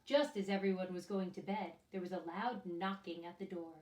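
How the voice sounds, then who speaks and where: A woman's voice: lowish voice, fairly even intonation, normal speed, a little mellow. A woman reading a picture book aloud to young children, expressively, often turning to them with questions and asides. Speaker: children's storyteller and teacher. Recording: echoey room, distant microphone.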